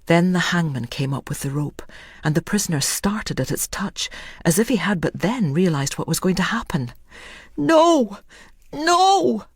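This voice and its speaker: A storyteller narrates dramatic fiction in a husky, breath-edged voice of medium pitch. The recording is close and dry, with no room around the words. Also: lively intonation, moderate pace, dry recording.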